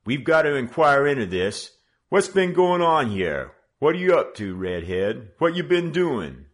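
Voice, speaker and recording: A man's voice: medium pitch, very animated, unhurried, voice pushed up. A man voicing a young male hoodlum gang leader who bullies and mocks in slangy tough talk. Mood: bullying. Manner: mock-judicial, bullying, slangy tough talk.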